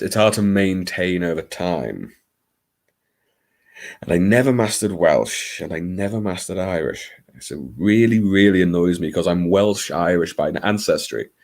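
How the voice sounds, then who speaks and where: A man's voice: low-pitched, darkish tone. A man hosting a casual live stream, chatting with viewers in a playful, theatrical manner full of jokey asides and swearing. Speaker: livestream host. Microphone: streaming microphone, not his usual video mic.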